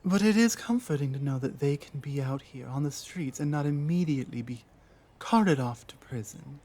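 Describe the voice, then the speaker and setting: A woman voicing a lost, guarded female orc warrior in an ASMR roleplay, speaking earnestly and haltingly with pauses. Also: low-pitched, expressive, dark tone. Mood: comforted, softening. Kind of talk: ASMR roleplay audio drama.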